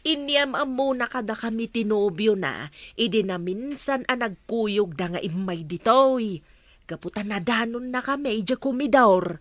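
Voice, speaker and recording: A woman's voice: fairly high pitch, lively intonation, measured pace, a bit bright, no room sound. A woman playing an eager gossip who reports to her madam in an Ilocano audio drama, insistent and deferential. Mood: gossipy, confiding.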